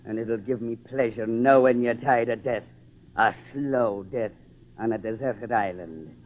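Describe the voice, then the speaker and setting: An actor playing a villainous ship's first mate, gruff, bossy and taunting, in old time radio adventure style. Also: male voice, medium pitch, a little slow, a little hiss or hum, lightly acted. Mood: gloating. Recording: tinny narrow-band old radio recording.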